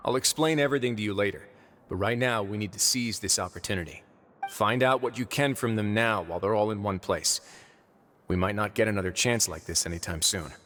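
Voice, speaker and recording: A man playing a composed, calculating authority figure in an anime game, speaking in a measured, formal, explanatory way. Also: lowish voice, a bit bright, slight background noise, close to an everyday voice. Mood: calm, scheming.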